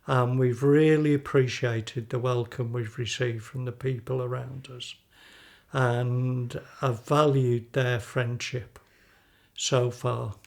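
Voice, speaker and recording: Older male community member, unhurried, level intonation, reflective testimony. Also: medium pitch, little pitch movement, gravelly, darkish tone. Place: indoors on a living room sofa.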